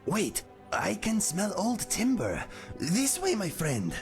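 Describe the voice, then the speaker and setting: Earnest cat guy swordsman, slow talker, swoopy voice. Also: high voice, wildly swooping pitch, creaky, soft background music, full character voice. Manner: earnest, distracted, sniffing out trails. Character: male cat-person swordsman companion.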